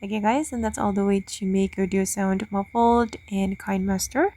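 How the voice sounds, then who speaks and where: A woman's voice: medium pitch, fairly even intonation, normal speed, heavy background noise. Woman hosting a step-by-step tutorial. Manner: simple step-by-step explaining. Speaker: tutorial host. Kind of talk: spoken software tutorial.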